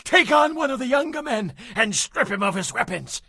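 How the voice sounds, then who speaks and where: A man playing an ancient army commander in an audio Bible drama, loud, urgent and declamatory. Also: extremely high pitch, expressive, moderate pace, vocal fry, dry recording, clean studio sound, exaggerated voice. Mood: urgent warning. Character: ancient army commander, forceful, exasperated battlefield shouter.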